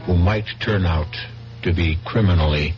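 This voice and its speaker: A man hosting and narrating a 1970s radio mystery with deliberate, ominous delivery and dramatic pauses. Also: deep voice. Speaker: host and narrator. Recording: muffled 1970s radio broadcast. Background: music.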